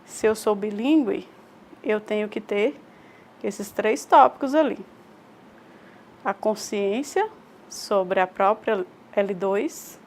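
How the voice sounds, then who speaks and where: A woman with a Brazilian Portuguese accent giving a recorded online lecture. She explains step by step, poses rhetorical questions, repeats key points and checks in with "ok?". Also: on the high side. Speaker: teacher.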